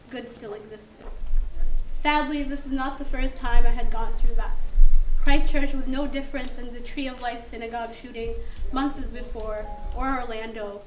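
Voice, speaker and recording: Young woman from Sudan, earnest measured speech, slightly high voice, roomy distant recording. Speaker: speaker at a democracy event. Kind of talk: prepared speech read aloud. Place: classroom, indoors. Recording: roomy, distant mic, audible noise.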